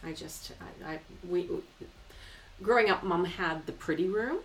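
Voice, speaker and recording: A middle-aged woman speaks as a guest in a relaxed, informal chat. Her voice sits a little low and she comes across as agreeable and enthusiastic, with some noise in the recording. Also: a little slow, poor audio. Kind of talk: casual chat.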